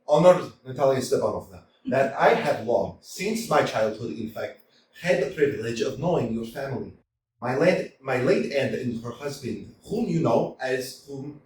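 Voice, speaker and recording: A man voicing a nervous, pedantic young gentleman suitor in a staged reading of a stage comedy, stammering and fussily formal. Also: mid-range voice, lightly acted. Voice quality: stammering, hesitant, fussy formality. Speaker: community theater actor. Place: small community theater. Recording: echoey room, from far off, faint noise.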